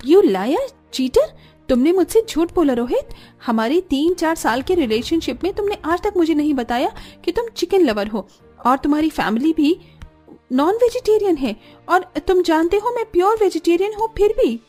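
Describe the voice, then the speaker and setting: A woman acts a young Indian-family cartoon bride, dutiful yet indignant. The voice is high and soft-toned, with an expressive, plaintive delivery over music. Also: normal speed. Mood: angry.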